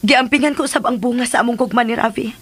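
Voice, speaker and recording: A woman's voice: a little high, fast talker, a bit bright, husky. An actress playing a caring, resolute young pregnant woman in a radio drama, speaking earnestly with a Cebuano accent. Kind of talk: Philippine radio drama acting.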